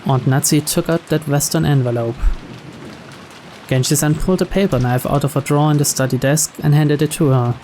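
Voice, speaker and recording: A man's voice: a little high, a little fast, mellow, a little hiss or hum. A man reading scripted first-person narration from a mystery visual novel. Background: music and rain.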